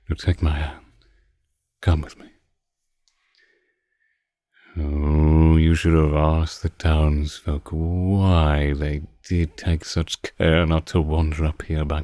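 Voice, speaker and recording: Guy doing a seductive vampire for asmr roleplay, super low dark voice, slow n teasing, possessive, slips into whispers. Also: exaggerated voice. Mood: commanding, possessive, then mocking, menacing. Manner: slow, teasing, commanding, breathy drops into whispers. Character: seductive, predatory male vampire, ancient but youthful-looking, possessive. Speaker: audio roleplay performer. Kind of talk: intimate scripted audio roleplay, ASMR.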